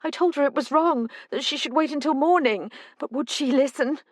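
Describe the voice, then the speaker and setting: A woman voicing an older mother who is frightened and tearful, talking in a tremulous, rushed outpouring. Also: sits high, dry recording, clean studio sound, voice pushed up, close to an everyday voice. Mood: distraught.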